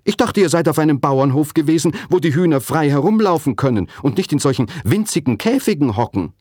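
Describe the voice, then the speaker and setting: Male reader as sceptical father, questioning, incredulous, animated intonation, slightly husky, children's storybook. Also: lowish voice, moderate pace, no room sound, studio quality, exaggerated voice. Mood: curious. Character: puzzled, sceptical father of a schoolgirl.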